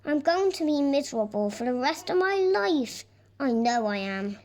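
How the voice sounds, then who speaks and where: A woman's voice: high-pitched, big pitch swings, normal speed, a little mellow. A woman voicing a forlorn little storybook girl in a doleful, self-pitying manner. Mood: miserable. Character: little giggly girl, now miserable and forlorn.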